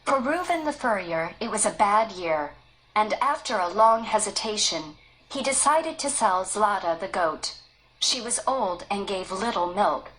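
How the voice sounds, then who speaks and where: Woman, read-aloud narration, slow pace, mid pitch, even storytelling. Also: poor audio. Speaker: story reader.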